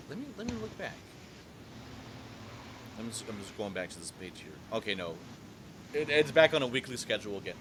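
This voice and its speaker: A man hosting a casual podcast chat, animated and swearing casually as he talks. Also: medium pitch, noisy background. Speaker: podcast host.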